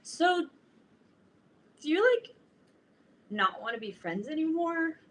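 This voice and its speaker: A woman playing an earnest, awkward teenage fangirl in a staged reading of a comedy, speaking haltingly with lots of filler "like". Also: high-pitched, very animated, a bit bright, heavily acted. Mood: uneasy, hurt. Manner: hesitant, halting, peppered with "like". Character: earnest, awkward teenage girl, obsessive TV-show fan.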